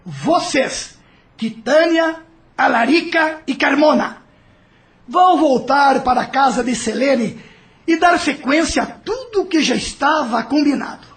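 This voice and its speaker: Um ator interpretando um espírito maligno dominador, debochado e manipulador em uma novela de áudio. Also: male voice, extremely high pitch, animated, unhurried, a bit bright, exaggerated voice. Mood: bossy scheming. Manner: mocking, manipulative, theatrical. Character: domineering, scheming male evil spirit, sly and vengeful. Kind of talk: audio drama acting.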